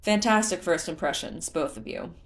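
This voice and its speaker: A woman voicing a formal, world-weary male fish-folk escort in a storybook reading, courteous and measured. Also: fairly low pitch, lively intonation. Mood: exasperated.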